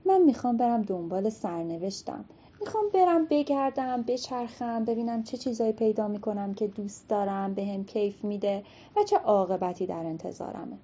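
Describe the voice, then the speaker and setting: A woman's voice: medium pitch, warm tone, breathy edge, lo-fi sound, close to an everyday voice. A woman doing a fretful young hunchback man. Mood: eager. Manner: anxious, pleading.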